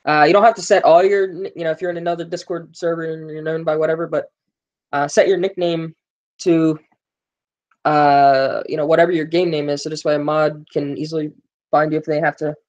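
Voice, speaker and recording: A man's voice: fairly high pitch, level tone, a little fast, darkish tone, highs cut off. A man hosting a casual gaming live stream, rambling with plenty of fillers and joking with his viewers. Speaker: gaming livestream host.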